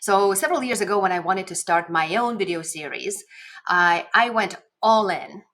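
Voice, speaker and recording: A woman with a Lithuanian accent speaks at a middle pitch in a casual instructional vlog. She is conversational and encouraging, leaning on important words as a coach would. She records at her desk in a home office. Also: moderate pace, fairly bright tone. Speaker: video coach and host. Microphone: desk microphone at a webcam setup.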